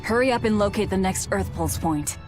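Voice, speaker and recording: A woman playing a cold, driven young leader in a video game, curt and deadpan. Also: medium pitch, brisk, music in the background, close to an everyday voice. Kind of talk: animated video game dialogue.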